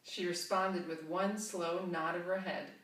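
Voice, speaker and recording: A woman's voice: on the low side, fairly even intonation, measured pace, fairly bright tone. A woman who writes romance, reading narration from a romance novel aloud in a light, playful way. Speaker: author reading an excerpt. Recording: roomy, distant.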